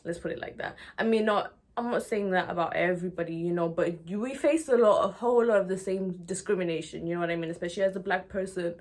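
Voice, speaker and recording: A woman's voice: lowish voice, quick pace, hardly any pauses, slight background noise. A young woman in her twenties from Nigeria, speaking candidly and expressively to her viewers in a conversational vlog style. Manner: conversational and expressive, often checking in with "you know". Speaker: video blogger. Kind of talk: candid personal talk to viewers.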